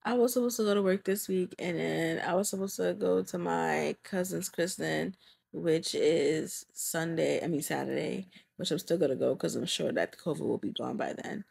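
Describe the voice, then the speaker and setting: Congested, low-voiced young woman vlogger. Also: moderate pace, gravelly, a little mellow, husky. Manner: blunt, swears freely, chatty. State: congested, recovering from covid. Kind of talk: casual vlog chat.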